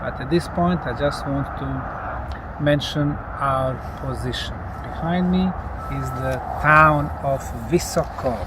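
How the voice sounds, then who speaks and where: Higher-voiced middle-aged man presenting outdoors. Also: unhurried. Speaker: presenter and lead investigator. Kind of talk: presenting to camera on location. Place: open grassy hillside outdoors. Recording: outdoor recording with clear ambient noise.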